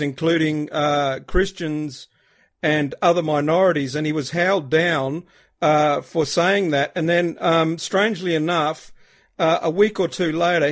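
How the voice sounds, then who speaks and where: Man with a higher voice. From Australia. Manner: chatty, asides with fillers. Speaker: co-host. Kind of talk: casual radio interview talk.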